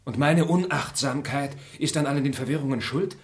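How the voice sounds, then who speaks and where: An actor playing a dignified young male traveller who speaks in a calm, measured, courteous way. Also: mid-range voice, close to an everyday voice. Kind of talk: radio play acting.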